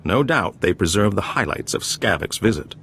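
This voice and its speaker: An actor playing a genteel, courteous underworld informant in a video game, speaking with measured politeness. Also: male voice, low voice, sing-song, creaky, a bit bright, a little hiss or hum, full character voice. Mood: courteous, cautioning. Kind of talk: video game dialogue acting.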